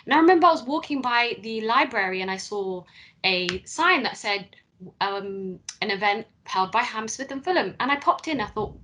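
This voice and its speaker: A young woman speaks earnestly to students, sharing her path and encouraging them. Her voice is bright and clear, and her talk is full of small fillers. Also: fairly high pitch, lively intonation, normal speed, rough recording. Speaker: council apprentice speaker.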